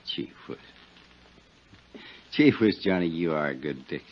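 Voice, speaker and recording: A man's voice: extremely low pitch, lively intonation, unhurried. An American man playing a crooked, sly insurance investigator in a 1950s radio drama, talking slangy, wheedling tough-guy talk. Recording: dull, noisy 1950s radio recording.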